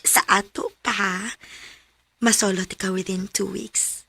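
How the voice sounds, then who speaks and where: An actress playing a watchful woman in a strained romance in a radio drama, breathy and husky, with a Cebuano accent. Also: mid-range voice, steady tone, takes it slowly, sharp tone, no echo, heavily acted. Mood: restrained.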